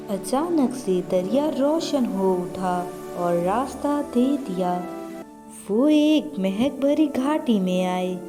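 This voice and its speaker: A woman narrating a scripted fairy tale as a storyteller. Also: a little high.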